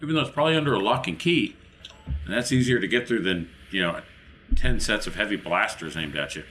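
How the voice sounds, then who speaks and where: A man's voice: fairly low pitch, quick pace, pushed and bright, slight background noise. A man playing a tabletop roleplaying game, chatting casually as he plans in character.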